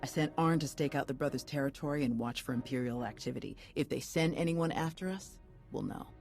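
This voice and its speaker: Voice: medium pitch, fairly quick, gravelly, a little mellow, soft background music, natural voice. An actor voicing an easygoing, supportive soldierly companion in a video game, casual and conversational. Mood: relaxed.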